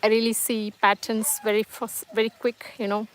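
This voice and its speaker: A woman's voice: a little high, level tone, moderate pace, clear, resonant tone. A woman whose first language is Tamil hosts a casual livestream at home, reading viewers' comments and chatting in a hesitant, reassuring way with many fillers and restarts. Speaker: livestream host. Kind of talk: casual live-chat monologue, reading comments.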